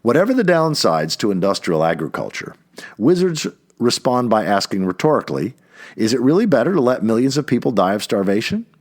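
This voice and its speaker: A man reading an essay aloud in an emphatic way, stressing key words, with a husky voice. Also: medium pitch, very animated, fairly quick. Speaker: reader of an essay. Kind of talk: read-aloud essay narration.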